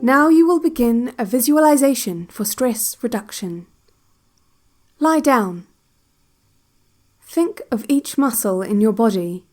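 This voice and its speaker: Female relaxation cassette guide, very slow, slightly high voice, calm, deliberate, instructional, music behind, audio drama. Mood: calm, hypnotic. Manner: measured, deliberate, instructional, with short spaced sentences. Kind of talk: slow guided visualization, scripted second-person narration. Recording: music bed under the voice.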